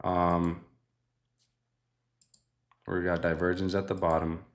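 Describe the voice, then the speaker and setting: A young man hosting a live trading stream, chatting casually with viewers and thinking out loud, often dropping to a quiet mutter. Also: sits low, little pitch movement, slow pace. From Mexican family. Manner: laid-back, thinks aloud, often mutters quietly to himself. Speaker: livestream host trading futures.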